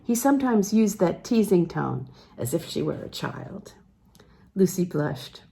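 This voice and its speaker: A woman narrating literary fiction aloud as an audiobook reader, measured and expressive, letting phrase endings fall away softly. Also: fairly low pitch, creaky. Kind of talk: scripted literary narration, reading a novel aloud.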